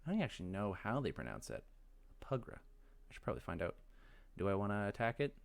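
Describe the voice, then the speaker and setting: A man's voice: lowish voice, huge pitch swings, fast, gravelly. A man giving casual video game commentary, rambling and musing aloud as he thinks things through. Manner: rambling, musing, talks to himself. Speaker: let's play host.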